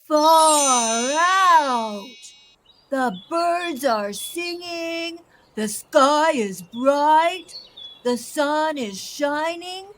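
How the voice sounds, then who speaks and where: Woman voicing a slow, drawling storybook turtle. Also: high voice, expressive, a bit bright, slight background noise, full character voice. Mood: delighted. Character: frustrated, glum male turtle who turns carefree.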